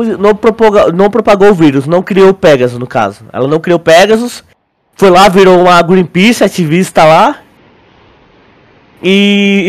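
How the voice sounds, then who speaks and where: A Brazilian man co-hosting a podcast, theorizing in a casual, slangy and rambling way. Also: high-pitched. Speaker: podcast co-host. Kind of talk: casual chat, theorizing about a series.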